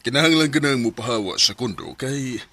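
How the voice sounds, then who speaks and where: An actor playing an apologetic, evasive middle-aged father and secret lover in a Filipino radio drama, halting and stammering, with a Filipino accent. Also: medium pitch, pushed and bright. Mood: guilty, apologetic.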